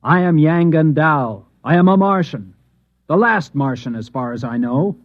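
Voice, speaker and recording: An actor playing an earnest, bewildered Martian trapped in a husband's body, halting yet insistent, in a mid-century radio drama. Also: male voice, fairly high pitch, expressive. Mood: earnest, hopeful. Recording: dull lo-fi old radio recording.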